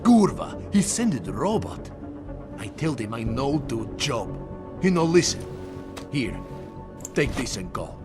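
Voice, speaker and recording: An actor playing a gruff, distrustful hacker in a video game, speaking broken English. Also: male voice, mid-range voice, big pitch swings, a little slow, pauses often. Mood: irritated, dismissive. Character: surly, distrustful male hacker in a bar. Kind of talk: video game cutscene acting. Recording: music behind. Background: music.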